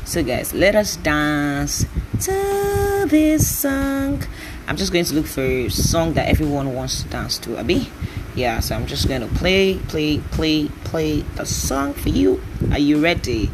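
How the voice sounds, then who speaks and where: A woman's voice: low voice, big pitch swings, a little slow, noisy background. A Nigerian woman in her early twenties hosting a podcast, chatting casually and rambling, often breaking into laughs. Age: 20s. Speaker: podcast host. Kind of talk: casual solo podcast chat.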